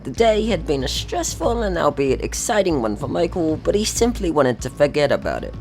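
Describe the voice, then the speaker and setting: A young narrator tells a scripted story with a mock-serious manner. The pitch sits in a middle range and swings in a lively way, with music playing behind the voice. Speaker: video creator narrating own short film.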